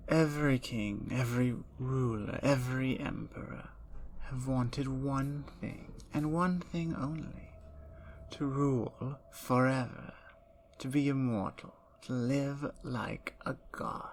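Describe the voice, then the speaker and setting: A woman voicing a gravelly, teasing vampire. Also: rumbling low, steady tone, takes it slowly, slight background noise, exaggerated voice. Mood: menacing. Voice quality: soft whispers. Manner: teasing, taunting. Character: playful, menacing captured female vampire. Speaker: ASMR roleplay performer. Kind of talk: ASMR roleplay.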